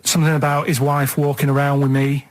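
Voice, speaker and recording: Higher-pitched man, plain-spoken. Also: flat delivery, a little fast. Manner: earnest, plain-spoken, stubbornly literal. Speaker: radio show co-host. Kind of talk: casual chat.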